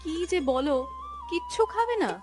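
Cartoon village wife and mother female actor: high-pitched, animated, homely, mildly scolding, over a music bed. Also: moderate pace, heavily acted. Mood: homely, attentive. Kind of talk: cartoon story dialogue.

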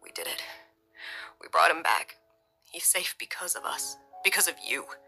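A woman voicing a protective, loving young father in a soft, breathy voice thick with emotion, in an audio story. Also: low-pitched, brisk, faint music behind, pitched below the performer's own voice, heavily acted. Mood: tender, relieved.

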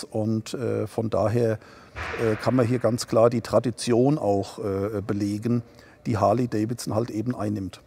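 Man, 60s, gravelly dark voice, relaxed, hesitant interview answers. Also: mid-range voice. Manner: relaxed, hesitant with many fillers. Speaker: dealership co-owner. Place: showroom, seated on a sofa indoors.

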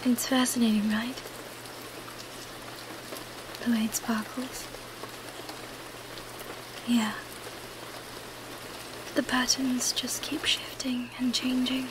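A woman's voice: on the high side, flat delivery, takes it slowly, pauses often, noisy background. A woman playing a cunning female vampire who feigns weakness, in an ASMR roleplay. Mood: soothing, wistful.

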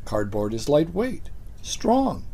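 An older man delivering a deadpan mock news report as a comedy anchor, in a dry, unhurried newsreader manner. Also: a little high, breathy edge. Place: studio set with green-screen backdrop.

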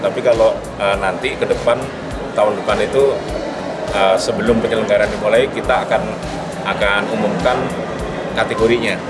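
A man in his forties answering interview questions at an expo booth, halting and full of fillers. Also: fairly low pitch, fairly even intonation, far from the mic, loud music behind the voice, rough recording. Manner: hesitant, many fillers and restarts. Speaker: film company representative. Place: busy indoor expo hall, at a booth. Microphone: handheld reporter mics held close.

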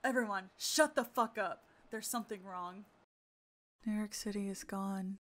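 A woman's voice: mid-range voice, flat delivery, unhurried, a little mellow, natural voice. Woman acting a blunt, alarmed woman. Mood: alarmed, shaken. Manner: blunt, urgent. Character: blunt, foul-mouthed, alarmed woman among friends. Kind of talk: amateur comic dub acting.

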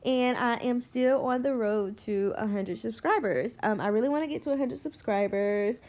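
A woman's voice: fairly high pitch, moderate pace, darkish tone. Stuffy-nosed chatty woman vlogger. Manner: rambling, chatty, lots of fillers. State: stuffy, congested. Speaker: nail tutorial vlogger. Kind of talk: casual voice-over tutorial.

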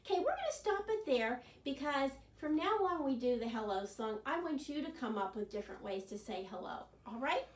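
A woman's voice: sits high, brisk, hardly any pauses. Older woman reading a storytime to children. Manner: animated and sing-song, with gestures. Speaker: children's librarian. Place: living room, seated in an armchair. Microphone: camera at a distance. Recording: echoey room, far from the mic.

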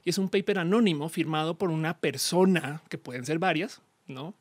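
A woman in her thirties with a Colombian accent, a podcast guest explaining things casually with slang and acted-out exchanges, on a studio boom mic. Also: moderate pace, no echo. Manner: animated, slangy, acts out quoted exchanges. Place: small indoor studio. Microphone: studio mic on boom arm, headphones.

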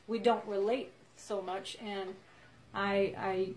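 A woman's voice: mid-range voice, flat delivery, slow, darkish tone, poor audio. A woman in her forties from Egypt, a guest telling her story in casual chat, earnest, with frequent restarts. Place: small indoor room with a wall chart.

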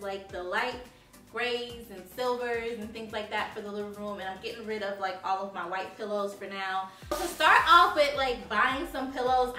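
A woman's voice: a little high, pushed and bright. A young woman from Haiti chatting casually to her viewers as she shows off home decor, with a bubbly manner and lots of "like"s. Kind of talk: casual haul video. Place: living room, seated on a sofa. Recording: roomy sound from a distant mic.

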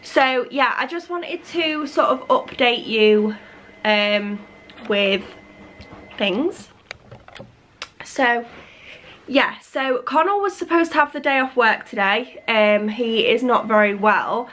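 A young woman in her twenties, slightly husky as she gets over a cold, chatting to camera in her living room with lots of fillers. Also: a little high, animated, slow, fairly bright tone, slight background noise. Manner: rambling, many fillers and restarts. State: recovering from a cold. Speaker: vlogger. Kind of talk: casual chat to camera. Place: living room at home, indoors. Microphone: camera on a tripod.

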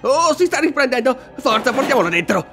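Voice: high voice, very animated, fairly quick, music in the background. A performer voicing a sardonic, boastful, battle-worn animatronic rabbit in a melodramatic, mocking cartoon dub. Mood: dramatic.

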